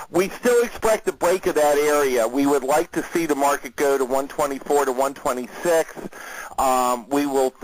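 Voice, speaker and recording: A man who is a market analyst, hosting a weekly conference call and briefing listeners on the market in a steady, conversational way, with frequent fillers. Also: medium pitch, no echo, rough recording.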